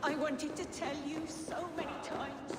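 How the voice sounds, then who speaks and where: A woman's voice: high voice, level tone, unhurried, big-room sound, heavy background noise. An actress playing a passionate, haughty medieval mother in a video game, theatrical and emotional. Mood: anguished. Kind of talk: video game dramatic acting.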